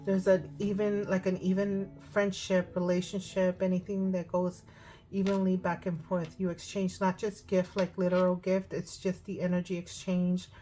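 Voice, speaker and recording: A woman with a hoarse voice giving a casual tarot card reading, thinking aloud as she goes. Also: on the low side, little pitch movement, moderate pace, mellow. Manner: chatty, thinking aloud with fillers. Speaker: tarot reader. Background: music.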